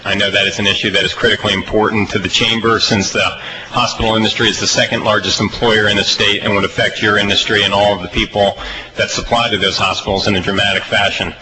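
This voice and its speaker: An American man chairing a legislative hearing, speaking off the cuff in halting, emphatic phrases with repetition. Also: on the low side. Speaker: committee chairman. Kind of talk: extemporaneous remarks at a legislative budget hearing. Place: legislative committee hearing room. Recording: noisy legislative hearing audio.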